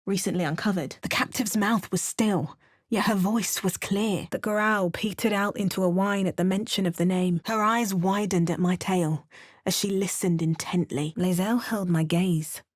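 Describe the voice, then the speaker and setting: An actress voicing a sardonic female adventurer in a game, delivering dry, sarcastic quips and calm narration. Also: medium pitch, moderate pace, dry recording, close to an everyday voice. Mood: wry. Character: sardonic adult female adventurer, wry and unflappable. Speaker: player character voice actor.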